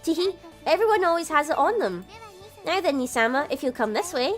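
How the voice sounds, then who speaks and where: A high-voiced young sister from a visual novel, acted out by a woman, prim and doting. She explains things politely and proudly, with a giggly touch, over faint music. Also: lively intonation, fairly quick. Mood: instructive. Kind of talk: visual novel character read aloud.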